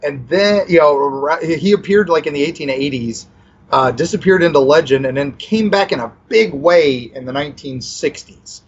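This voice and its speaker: A man's voice: fairly high pitch, normal speed, husky, highs cut off. A man co-hosting a podcast, telling a local legend in a loose, rambling way with many fillers and restarts. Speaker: podcast co-host. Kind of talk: casual storytelling chat.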